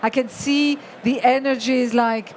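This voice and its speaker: A woman in her forties hosts from a stage in a big expo hall, her voice high and bright in pitch. She rouses the audience with lively energy. Faint crowd noise hums behind her. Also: a little slow. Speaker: stage host. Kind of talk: event hosting.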